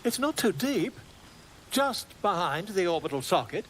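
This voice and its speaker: A man's voice: sits high, big pitch swings, unhurried, a bit bright, slight background noise, exaggerated voice. A man playing a pompous, overconfident bard and self-styled scholar in a video game, effusive and florid. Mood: cocksure. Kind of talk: video game character acting.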